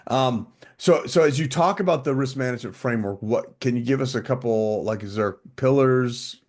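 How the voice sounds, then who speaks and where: A man hosting a podcast, asking relaxed questions and giving quick backchannel replies. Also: mid-range voice. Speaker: podcast host. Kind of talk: casual interview questions.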